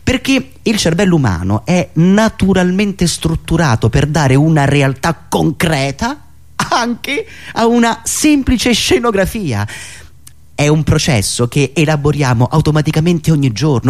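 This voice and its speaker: A man delivering a theatrical political monologue in a dramatic, emphatic manner, with deliberate pauses. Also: on the high side. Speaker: satirical stage performer and radio host.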